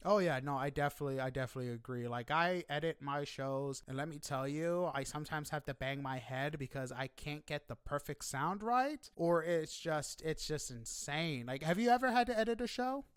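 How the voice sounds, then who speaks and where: Higher-pitched man hosting a casual interview. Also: animated, moderate pace, hardly any pauses, smooth, no echo, clean studio sound. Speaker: podcast host interviewing guests.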